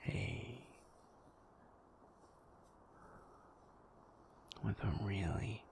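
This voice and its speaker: A man acts out a devoted werewolf boyfriend in an intimate audio roleplay. The voice is a hushed whisper that sinks into a gravelly low murmur. He reassures gently and haltingly, at a very unhurried pace. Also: exaggerated voice. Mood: thoughtful, soothing. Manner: tender, hesitant, halting reassurance.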